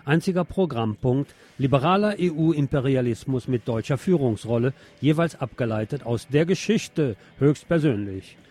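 A man's voice: mid-range voice, dark tone. A man hosting a radio programme, reading news and press commentary aloud in a wry, sardonic manner.

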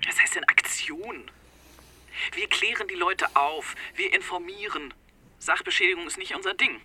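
A woman's voice: on the low side, lively intonation, moderate pace, background noise, lightly acted. An actress playing a defensive, earnest female activist in a radio crime drama.

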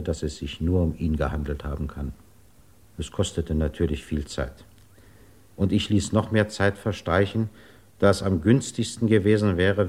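A very low male voice plays a resolute investigator in a 1950s radio drama. He is formal and courteous, choosing each phrase with deliberate care. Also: a little mellow.

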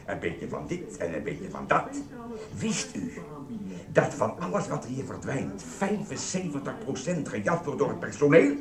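An actor playing a grumpy, officious supermarket security guard in a radio play, brusque, suspicious and lecturing. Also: male voice, mid-range voice, big pitch swings, normal speed, close to an everyday voice. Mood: sarcastic, scheming. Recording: roomy echo, music behind.

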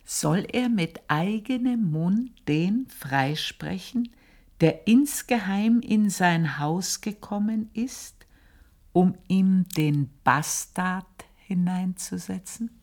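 An actress with an Austrian accent playing a guilt-ridden, vengeful housemaid in a radio play monologue, husky and breathy, confessing with brooding intensity. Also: female voice, fairly low pitch, expressive, slow pace, darkish tone, exaggerated voice. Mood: anguished, intense. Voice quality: husky, breathy, often dropping to a whisper.

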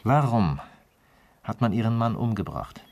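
An actor playing a sceptical police detective in a radio crime drama, asking formal, pointed questions. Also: male voice, fairly low pitch, lively intonation, measured pace, darkish tone. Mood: probing, sceptical.

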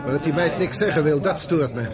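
High-voiced British major played by a man. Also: brisk, loud music behind the voice, over a noisy crowd, natural voice. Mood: brusque, clipped. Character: callous British army major, cornered and panicking later. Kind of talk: radio drama acting.

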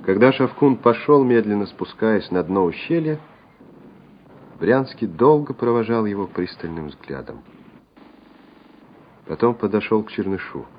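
A man's voice: lowish voice, expressive, unhurried, pauses often, a little hiss or hum. A man narrating a literary radio play in a dramatic storytelling style. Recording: dull lo-fi radio recording over music.

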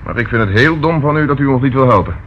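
An actor playing a brisk, commanding and suspicious police inspector in a radio play, giving clipped orders and probing questions. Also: male voice, mid-range voice, lively intonation, lo-fi sound, lightly acted. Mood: stern, probing. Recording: noisy old radio drama recording.

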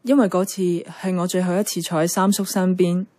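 An actress playing a caring, affectionate and slightly evasive niece in a Hong Kong Cantonese radio drama, conversational and soft-hearted. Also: female voice, fairly low pitch, little pitch movement, fairly quick, close to an everyday voice. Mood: wistful.

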